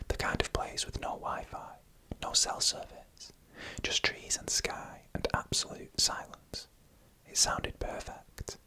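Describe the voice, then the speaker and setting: A storyteller who whispers throughout, with a crisp, bright edge to the breath. The delivery is scripted ASMR narration of eerie first-person tales, laid out in short, hushed phrases with pauses between them. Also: rough recording.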